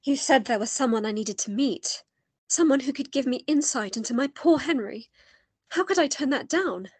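A woman acting a polite but firm young Victorian gentlewoman in a radio play, with a proper, wry manner. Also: high-pitched, lively intonation, moderate pace, slightly rough, dry recording. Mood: concerned.

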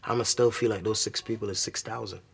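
A man from Barbados with a Barbadian accent, a musician talking about his music in a relaxed interview. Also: on the low side, fast, vocal fry, slightly rough. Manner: laid-back, repeats "you know what I'm saying". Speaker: guest musician. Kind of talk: casual interview chat.

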